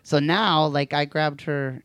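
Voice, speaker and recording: A man in casual chat, talking in a chatty, giggly way and telling animated stories. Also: on the high side, slow pace, clear, resonant tone, no echo.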